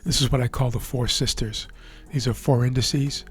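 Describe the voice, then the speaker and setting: A man whose voice is husky and hoarse, as if worn down from talking. He walks through the market in a relaxed, explanatory way, at a middling pitch, with faint music in the background. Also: normal speed. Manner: relaxed, explanatory, checks in with "right?". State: hoarse, losing his voice. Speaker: trading educator. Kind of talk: live market commentary over a chart screen.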